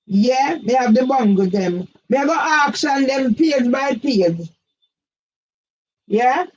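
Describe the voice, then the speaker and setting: Agitated older Jamaican woman, high-pitched. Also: lively intonation, takes it slowly, a little hiss or hum. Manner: agitated, repetitive, rises to shouts. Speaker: vlogger. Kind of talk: impassioned monologue. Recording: dull lo-fi audio.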